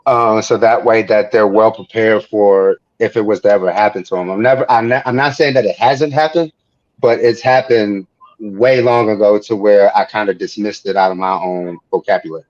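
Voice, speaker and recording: A man's voice: on the low side, fairly even intonation, normal speed, darkish tone. An American man giving his view casually as a panelist, over dull, lo-fi online call audio. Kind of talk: casual discussion.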